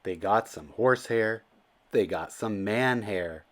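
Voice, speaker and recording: A man reading a children's storybook aloud as narrator, in an expressive and deliberate storytelling manner. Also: fairly low pitch. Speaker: children's book reader.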